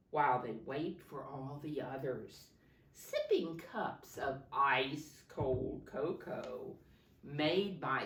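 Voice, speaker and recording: A woman reading a picture story aloud to young children in a sing-song way, pausing to point things out and ask simple questions. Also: low-pitched. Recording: roomy echo, distant microphone.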